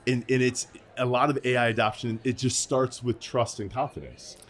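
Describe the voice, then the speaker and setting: A man's voice: medium pitch, steady tone, normal speed. A man hosting an interview in a casual, conversational style. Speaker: interviewer, host.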